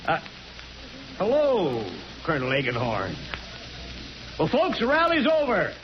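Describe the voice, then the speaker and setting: A man playing a stubborn, folksy small-town mayor making a forceful speech in a 1940s radio drama, on a dull old recording. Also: sits high, huge pitch swings, measured pace, background noise, exaggerated voice. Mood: earnest, defiant. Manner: folksy oratory, colloquial and forceful.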